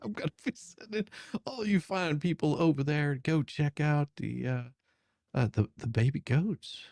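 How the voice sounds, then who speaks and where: A man's voice: fairly high pitch, moderate pace, a little mellow, no room sound, studio quality. A man hosting a livestream, chatting casually in a giggly, encouraging way. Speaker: stream host. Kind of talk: casual group chat on a livestream.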